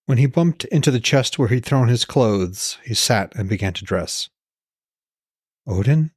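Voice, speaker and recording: A man's voice: medium pitch, lively intonation, normal speed, breathy edge, no room sound, studio quality. A man reading scripted narration as author and podcast host.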